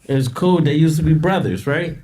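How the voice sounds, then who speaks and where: A man in a played interview clip tells a story in a rambling way, filling gaps with "um" and "uh". His voice is dark and mellow. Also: fairly high pitch, moderate pace. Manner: rambling storyteller, many fillers. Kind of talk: casual interview talk.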